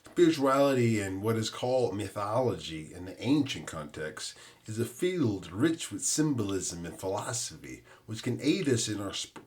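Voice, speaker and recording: A man delivering a scripted podcast introduction as host, in an earnest, deliberate manner. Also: on the low side, a little mellow.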